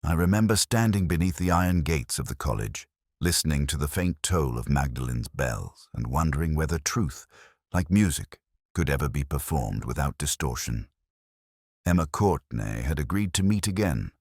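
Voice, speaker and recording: A man narrating a first-person detective story in a measured, brooding, literary manner, as a world-weary investigator. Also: a bass voice, expressive, darkish tone, dry recording, studio quality. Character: world-weary, reflective male police detective. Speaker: audio drama narrator.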